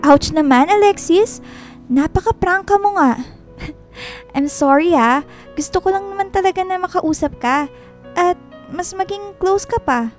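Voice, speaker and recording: A very high, expressive voice for a sweet, popular young woman student in a radio drama. She is playful and teasing, coaxing as she talks, with faint music behind her. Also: measured pace, long pauses. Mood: hurt, coaxing. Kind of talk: radio drama acting with Taglish dialogue. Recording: music bed behind dialogue.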